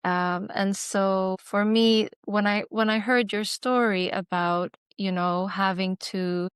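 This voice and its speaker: A woman whose first language is Arabic, interviewing a guest with measured, reflective questions. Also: mid-range voice, fairly even intonation, clear, resonant tone, no echo, studio quality. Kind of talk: conversational interview.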